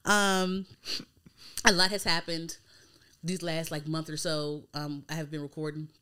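A woman's voice: low-pitched, level tone, a little slow, sharp tone, clear, resonant tone. An American woman of thirty hosting a casual podcast, chatting informally with slang and free swearing. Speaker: podcast host.

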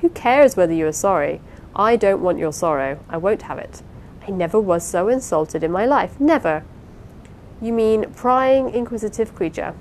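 Woman narrator as proud, hot-tempered, doting young wife, audiobook period drama, emphatic, middle register, noticeable rumble. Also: animated, a little slow, a little mellow, natural voice. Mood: indignant, furious. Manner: imperious, effusive, emphatic. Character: Rosamond, vain, fond, fiery-tempered young wife. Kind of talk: dramatised audiobook reading of a Victorian novel. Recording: clear low rumbling background noise.

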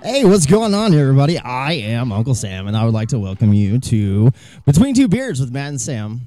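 A man's voice: mid-range voice, very animated, a little fast, dark tone. A man co-hosting a casual podcast from a small room, chatting easily and laughing often. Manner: laughs often, easygoing banter. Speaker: podcast co-host. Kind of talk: casual chat. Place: hot small room indoors.

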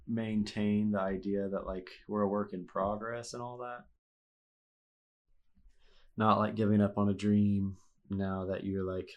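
A man's voice: fairly low pitch, creaky. A young man chatting casually as a podcast guest, laid-back and full of "like" and "you know", with a stuffy nose. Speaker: podcast guest, host's husband. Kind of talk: casual chat.

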